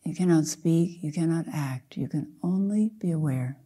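Casting: older female meditation teacher, very dark, mellow tone, soft and husky, gentle measured phrasing with long pauses. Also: low voice. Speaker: Zen teacher leading a guided meditation. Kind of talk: slow guided meditation.

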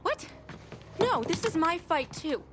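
A woman's voice: extremely high pitch, very animated, slow pace, very noisy, full character voice. An actress playing a feisty, sarcastic young female gang lieutenant in a video game, blunt and exasperated. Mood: defiant. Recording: voice under loud game music.

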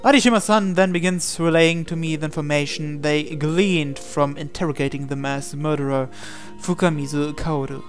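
A man reading a visual novel aloud as expressive narration, in a measured, dramatic way. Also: on the high side. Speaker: gaming channel host reading aloud. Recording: voice over game music. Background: game music.

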